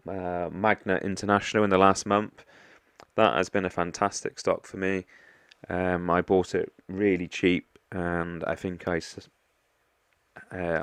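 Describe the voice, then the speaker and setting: A man's voice: low voice, smooth, no echo. A man with a stuffy, congested voice from a cold, hosting a casual portfolio walkthrough vlog and often hesitating with ums and restarts. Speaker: investing vlogger.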